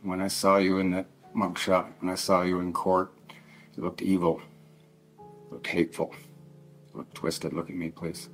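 A middle-aged man giving a solemn victim impact statement in halting, emotional phrases, grieving and addressing someone directly. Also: low-pitched, little pitch movement, low-quality recording. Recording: faint music laid under the voice. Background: faint plucked guitar music.

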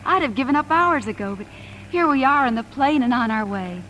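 A tender, soothing 1950s radio wife. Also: female voice, high-pitched, lively intonation, a little fast, fairly bright tone, poor audio. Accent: American. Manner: tender, reassuring. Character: devoted, soothing wife, mildly questioning. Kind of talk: 1950s American radio drama acting. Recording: old radio broadcast, faint noise.

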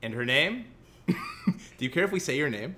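A man's voice: fairly high pitch, sing-song, moderate pace, bright, forceful tone. A young man with a Central Illinois accent co-hosting a casual podcast, joking and laughing often. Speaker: podcast co-host.

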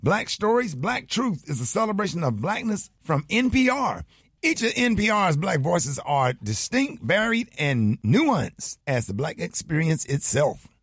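A man reads a scripted podcast promo in a fairly high, husky voice. His pitch moves in a lively way, and the recording is dry and close. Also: a little slow, no room sound. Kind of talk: scripted ad read.

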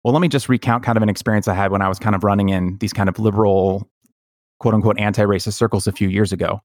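A man hosting a podcast, telling personal stories in an earnest, reflective, halting way. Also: on the low side, steady tone, a little mellow, dry recording, studio quality. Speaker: podcast host. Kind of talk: personal storytelling in conversation.

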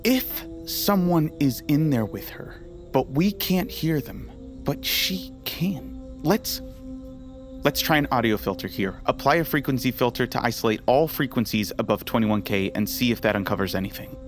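Investigator played by a man: lively inflection, focused, puzzling aloud, scored podcast drama. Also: medium pitch, moderate pace, music in the background, lightly acted. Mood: intrigued, intent. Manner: thinking aloud, intent. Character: intent investigator working through a recorded clue. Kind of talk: audio drama acting.

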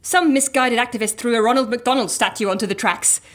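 A woman's voice: a little high, fairly quick, a bit bright, natural voice. An actress playing a polite, matter-of-fact woman passing on information in an audio drama. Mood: apologetic, informative. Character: apologetic woman matter-of-factly bringing news.

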